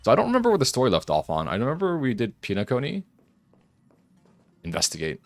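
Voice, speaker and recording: A man's voice: mid-range voice, huge pitch swings, fast talker, gravelly, no room sound, faint music behind. A man doing casual live gameplay commentary as a streamer, rambling and thinking aloud, close to the microphone. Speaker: game streamer.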